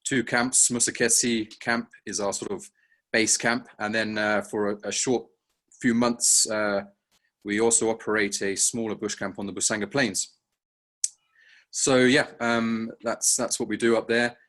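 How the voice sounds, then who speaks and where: Hesitant Englishman, low and very even. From England. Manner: hesitant, understated, halting. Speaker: safari camp operator on a panel. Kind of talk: casual unscripted panel talk.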